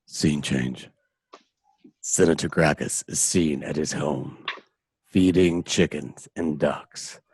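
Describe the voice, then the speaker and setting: A man's voice: extremely low pitch, slow pace, a little mellow, breathy edge, no room sound. A man narrating an amateur radio drama, reading out scene changes and stage directions.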